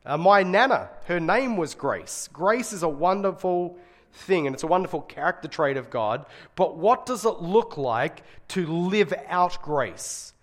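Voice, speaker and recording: A middle-aged man with a slightly raspy voice giving a conversational sermon, speaking earnestly and stressing key phrases. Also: high-pitched, animated, unhurried. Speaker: guest preacher and Bible college teacher.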